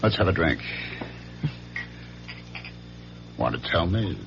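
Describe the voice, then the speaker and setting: An actor playing a brooding, jealous husband, narrating his memories in a measured, dramatic radio drama style. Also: sits low, expressive, creaky, background noise. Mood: tense. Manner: measured, dramatic, ruminative delivery. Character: brooding jealous husband, a weary businessman recalling his past. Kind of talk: radio drama first-person narration and acting. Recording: dull vintage radio recording, music behind. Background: dramatic music.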